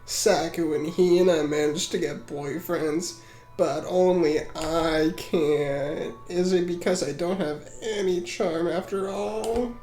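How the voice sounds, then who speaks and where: A woman's voice: on the low side, slow, mellow. A woman voicing a foul-mouthed, insecure rich teenage girl in a whiny, blunt, self-pitying manner. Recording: roomy echo, music underneath.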